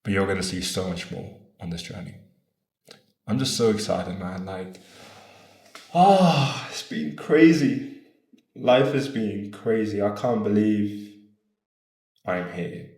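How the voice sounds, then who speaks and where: A man's voice: lowish voice, expressive, takes it slowly, pauses often, darkish tone, echoey room. A man in his twenties talking casually and earnestly to camera, rambling a bit, asking "right?" and swearing now and then. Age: 20s. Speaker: vlogger. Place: room in Bogota.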